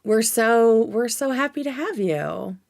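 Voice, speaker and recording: A woman's voice: fairly high pitch, lively intonation, measured pace, gravelly. A woman chatting casually as a livestream host, with an informal, scattered manner and free swearing.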